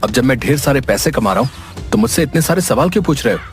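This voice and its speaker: Cartoon moral tale: male actor as a defiant, proud younger brother, quick to accuse, faint music underneath. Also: medium pitch, animated, lightly acted. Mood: angry, resentful. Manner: accusing, indignant. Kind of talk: Hindi animated moral story dialogue.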